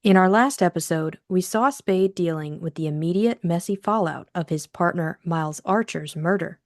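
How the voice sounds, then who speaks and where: A woman with a fairly low voice narrates from a script, as for an audiobook. The sound is dry, with little room around it. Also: moderate pace, dry recording. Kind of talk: scripted introduction and novel narration.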